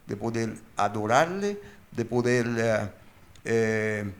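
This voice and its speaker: A man's voice: fairly low pitch, expressive, slow. A man speaking as a pastor, teaching informally in a group discussion, repeating phrases and posing questions. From born and raised outside Mexico, living in Mexico. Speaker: pastor, guest explainer.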